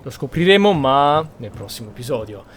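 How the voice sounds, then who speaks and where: A man's voice: mid-range voice, big pitch swings, quick pace, fairly bright tone, slightly rough. A man from Italy giving casual gameplay commentary as a video creator. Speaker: gaming YouTuber.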